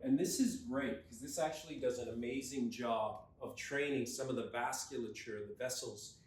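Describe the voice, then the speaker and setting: A man's voice: medium pitch, moderate pace. A man coaching, explaining a lesson in a conversational way and stressing key words. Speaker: neuroperformance coach. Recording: roomy echo, mic at a distance.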